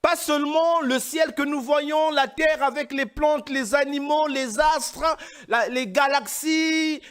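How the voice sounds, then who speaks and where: A man preaching a sermon, from Congo, emphatic and rising into louder, higher passages.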